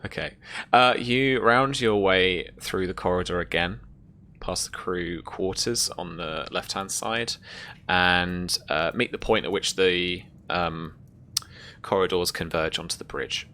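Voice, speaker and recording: A man running a tabletop roleplaying game, narrating scenes and explaining rules in a halting, thinking-aloud way. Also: sits low, pushed and bright. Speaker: game master. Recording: noticeable background noise.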